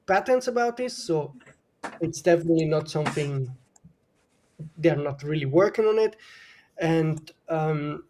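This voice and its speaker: A man's voice: fairly high pitch, warm tone, muffled recording. A man with a German accent, a native German speaker from northern Italy, explaining camera technology on a live stream panel with many hesitations and fillers. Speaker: guest camera expert.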